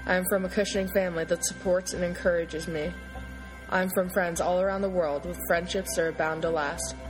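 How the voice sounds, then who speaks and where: A young woman reading her own poem aloud in a steady, earnest way. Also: on the low side, a little fast, music in the background, low-quality recording. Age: young adult.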